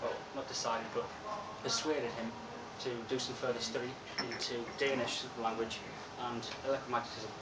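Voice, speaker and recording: A soft-spoken young man giving an informal lecture, relaxed and chatty with frequent ums. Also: medium pitch, normal speed, hardly any pauses. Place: lecture room with projector, indoors. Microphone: far room camera. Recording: echoey room from far off with loud hiss.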